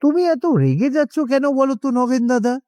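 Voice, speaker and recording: A man's voice: very high-pitched, normal speed, mellow, no echo, studio quality. A man voicing a grinning, fawning village grocer in a cartoon, giggly and ingratiating. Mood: fawning, sly. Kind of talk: cartoon voice acting.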